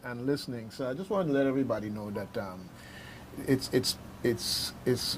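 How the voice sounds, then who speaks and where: A man hosting unscripted talk radio in a halting, rambling way, repeating words as he goes. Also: medium pitch, a little hiss or hum. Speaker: radio host.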